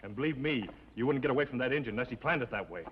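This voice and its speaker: A man's voice: mid-range voice, lively intonation, racing, natural voice. An actor playing an impatient, scheming American outlaw henchman in an old TV western, blunt, goading and bossy. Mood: scornful. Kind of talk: 1950s television western acting. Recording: dull, noisy old TV western soundtrack.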